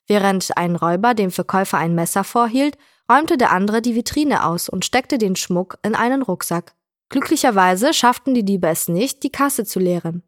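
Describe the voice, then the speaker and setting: A woman reading a short news report in an even, clear newsreader manner. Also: medium pitch, dry recording, clean studio sound.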